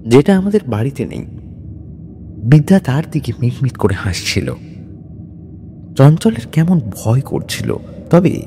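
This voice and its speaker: A man's voice: a little high, mellow, slight background noise. A man narrating a scripted horror story in a dramatic style. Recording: long reverb with music bed. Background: music.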